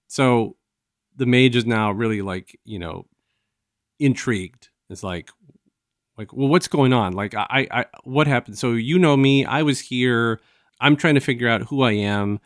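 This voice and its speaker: A man hosting a review show, casually retelling a story in a halting, conversational way and acting out bits of dialogue. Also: medium pitch, dry recording, studio quality. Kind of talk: casual discussion and retelling of an audio drama.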